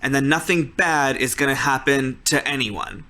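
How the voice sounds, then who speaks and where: A man playing a bossy, flustered camp counselor in a tabletop game, repeating himself haltingly as he tries to keep order. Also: medium pitch, sharp tone, lightly acted. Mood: nervous, bossy. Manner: halting, repetitive, insistent.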